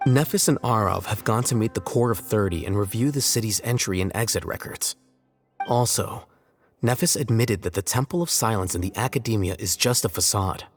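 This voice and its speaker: A man voicing a composed young scholar in a video game, measured, articulate and detached. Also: lowish voice, natural voice. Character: composed, matter-of-fact young male scholar, coolly analytical. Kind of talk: English video game dub acting.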